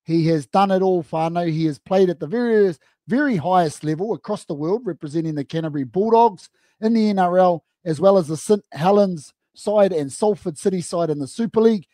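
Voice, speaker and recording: Upbeat New Zealand radio host, high mellow voice, dry close sound. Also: male voice, fairly quick, no echo. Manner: upbeat, mixes te reo Māori greetings into English. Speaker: radio sports show host.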